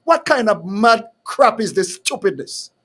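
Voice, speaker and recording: A talk show host with a notably high voice. He argues fiercely and emphatically, piling up rhetorical questions as he rants. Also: sing-song, measured pace, a bit bright. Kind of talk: heated call-in style talk.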